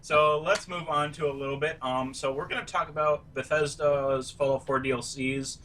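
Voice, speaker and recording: A man hosting a podcast in an upbeat, chatty way, a little off the mic with a faint rumble behind. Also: fairly even intonation, moderate pace, a bit bright. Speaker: podcast host. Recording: slightly distant mic with faint background rumble.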